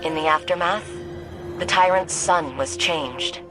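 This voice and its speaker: A woman playing a thoughtful companion who guides a soldier over comms in a video game, speaking in a measured, pensive, explanatory way. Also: fairly low pitch, close to an everyday voice, rough recording. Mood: solemn storytelling. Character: thoughtful female companion guiding a soldier by radio. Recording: music behind the voice.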